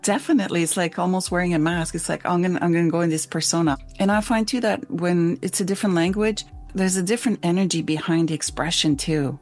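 A woman's voice: fairly low pitch, quick pace, hardly any pauses, a little mellow, faint music behind. A woman who grew up in Switzerland and lives in Canada, hosting a thoughtful, casual conversation.

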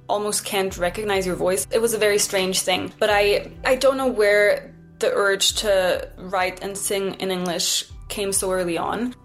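A young woman from Switzerland with a Swiss German accent, a singer-songwriter chatting casually and often saying "like". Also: medium pitch. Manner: chatty, with frequent "like" fillers. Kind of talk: casual conversation.

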